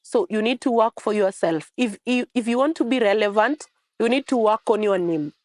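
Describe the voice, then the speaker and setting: A woman's voice: fairly high pitch, normal speed, darkish tone, no echo. Earnest young Kenyan woman, dry sound. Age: young adult. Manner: earnest, repetitive phrasing. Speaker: journalism fellow. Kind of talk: testimonial interview.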